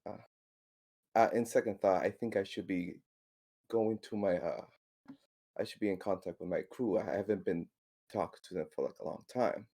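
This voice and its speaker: A man playing a tabletop game, chatting casually and haltingly, often repeating his words as he works out what to say. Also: low-pitched, mellow.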